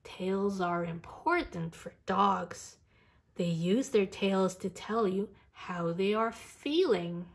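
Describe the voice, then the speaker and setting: A woman's voice: medium pitch, animated, slow, creaky, heavily acted. A woman voicing a sensible, doubting young boy, an older brother in a picture book, reasoning with emphasis. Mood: reasonable, doubting.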